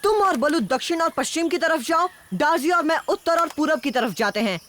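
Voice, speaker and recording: Jungle boy hero, kind, takes charge,; high voice, music under. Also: lively intonation, brisk, a bit bright, a little hiss or hum. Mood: reassuring. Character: brave young jungle boy, kind leader. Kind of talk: cartoon dubbing. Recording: music bed under the dialogue.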